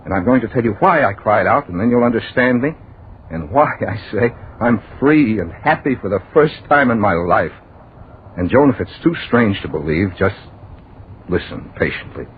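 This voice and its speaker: A man's voice: fairly low pitch, animated, moderate pace, lightly acted. An actor playing a haunted man obsessed with death, earnest and overwrought in a 1940s radio drama monologue. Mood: fervent relief. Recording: tinny 1940s radio, faint noise.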